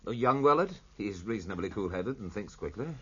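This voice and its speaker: Bluff British officer, swooping delivery. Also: male voice, lowish voice, expressive, a little fast, sharp tone, slightly rough, no room sound, dull audio, full character voice. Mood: hearty, businesslike. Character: bluff, hearty, plain-spoken British naval lieutenant. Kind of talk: radio drama acting.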